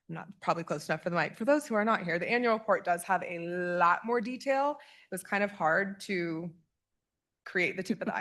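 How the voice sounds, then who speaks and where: A woman from a local agency speaking at a county commission meeting. She talks in a fast, chatty way, with many fillers and restarts. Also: on the low side, fairly bright tone. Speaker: agency staff presenter. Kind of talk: public meeting testimony.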